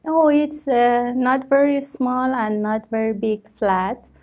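Woman, thirties, Iranian accent, slow, hesitant with fillers, small office. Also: a little high, fairly even intonation, a little mellow. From Iran. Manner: hesitant, many fillers and restarts. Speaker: test candidate. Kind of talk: mock speaking exam answers.

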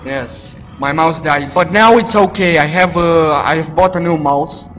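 Excitable man playing a horror game. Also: a little high, measured pace. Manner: loud, excitable, goofy taunting of game enemies. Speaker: let's play gamer. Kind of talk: casual gameplay commentary. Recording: roomy reverb with game music behind.

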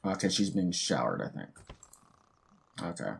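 A man with a hoarse voice from a cold, casually commenting on his gameplay as a let's play host, musing half to himself. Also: low-pitched, a little slow. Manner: relaxed, musing half to himself with soft hums.

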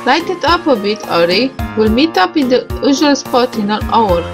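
A woman with a fairly high voice plays a visual novel and reads its lines out. She comments casually as she goes and sometimes stumbles and restarts. Music plays clearly behind her. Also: very animated, moderate pace. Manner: stumbles over words when reading, with self-corrections and asides. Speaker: gamer reading a visual novel aloud. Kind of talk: casual game commentary, reading on-screen dialogue aloud.

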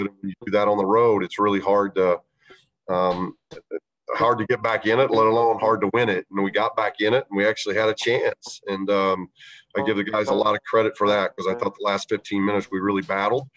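A man coaching a basketball team, answering reporters' questions after a game, measured and halting with many fillers. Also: on the low side, little pitch movement, a crowd in the background, poor audio. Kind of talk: post-game press conference answers.

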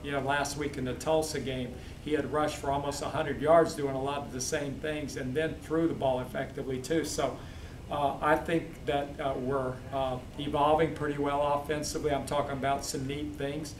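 A man who is a head football coach, answering postgame questions in a steady, measured way with many fillers. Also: fairly high pitch. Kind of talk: postgame press interview. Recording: echoey, distant mic, crowd noise.

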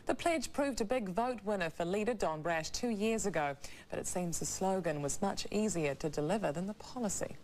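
A woman's voice: medium pitch, lively intonation, moderate pace, darkish tone, a little hiss or hum. A female news presenter with a New Zealand accent, reading a scripted bulletin.

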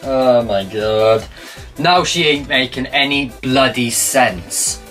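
A man's voice: mid-range voice, takes it slowly, fairly bright tone, soft background music. A young man doing sarcastic reaction commentary in a small room, filmed by a camera set back from him. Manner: mocking, sarcastic. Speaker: commentary youtuber. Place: small room by a blue wall.

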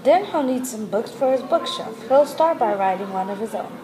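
A woman reading a children's picture book aloud, deliberately and phrase by phrase. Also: fairly high pitch, lively intonation, warm tone, low-quality recording. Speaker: storybook reader.

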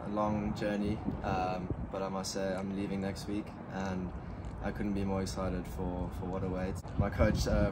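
South african teen guy, low monotone voice, lots of ums, loud outdoor noise with cars going by. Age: late teens. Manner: soft-spoken, many ums and restarts. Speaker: junior golfer interviewee. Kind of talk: casual interview answers. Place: outdoor deck overlooking a golf estate.

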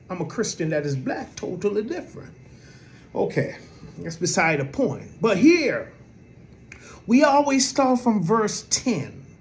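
A man with a soft voice preaching a sermon in a lively, conversational way, with many fillers. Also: high voice.

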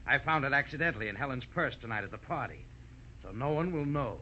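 An actor playing a sneering, menacing murderer in theatrical 1940s radio style. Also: male voice, mid-range voice, lively intonation, quick pace, bright, forceful tone. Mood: gloating, menacing. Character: smooth-talking male murderer, sneering and menacing. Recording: dull, faintly noisy old radio recording.

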